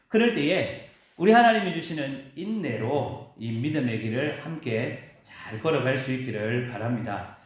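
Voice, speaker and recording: A male pastor giving a sermon in a church, preaching with emphatic rises and drawn-out stresses. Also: mid-range voice. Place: church sanctuary. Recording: very echoey church hall.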